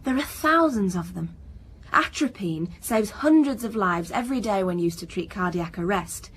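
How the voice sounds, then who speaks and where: A woman acting as a single-minded female scientist in a radio drama. She speaks at a middling pitch in a precise, lecturing, slightly superior way and sounds defensive. Ambient noise is audible behind her. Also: expressive, normal speed, lightly acted. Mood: earnest.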